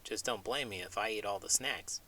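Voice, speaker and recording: A man's voice: fairly low pitch, a little fast, pushed and bright. A man voicing a cocky, carefree talking pig with a boastful, teasing manner in a children's show. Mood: cheerful, breezy.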